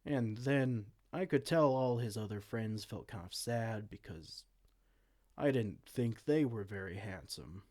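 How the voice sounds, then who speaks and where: A man's voice: lowish voice, lively intonation, measured pace, darkish tone. Guy doing a vain evil fantasy king, kinda a dork, takes his time, pompous but goofy, audio roleplay. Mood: sheepish, casual. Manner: pompous theatrical menace slipping into casual dorkiness. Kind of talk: hammy comedic fantasy roleplay acting.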